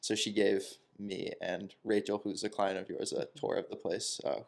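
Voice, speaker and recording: A man's voice: fairly low pitch, moderate pace, gravelly. A man in his twenties chatting casually and thoughtfully on a podcast about moving to a new city. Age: 20s. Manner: relaxed, reflective, with some restarts and laughs. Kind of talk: casual chat.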